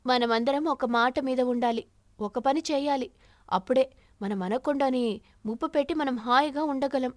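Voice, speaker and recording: A woman's voice: high voice, normal speed, no room sound, clean studio sound, lightly acted. A woman voicing a firm, sensible sparrow who leads a bird flock in a cartoon, earnest and instructing. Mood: resolute.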